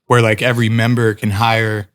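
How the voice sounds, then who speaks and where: A man's voice: lowish voice, level tone, normal speed, a bit bright, smooth. A man in casual podcast chat who rambles with many "like" fillers. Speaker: podcast guest.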